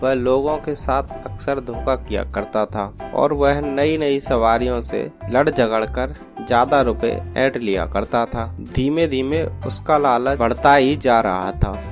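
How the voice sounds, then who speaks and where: A man's voice: medium pitch, unhurried, soft background music. A man narrating a scripted story as a storyteller.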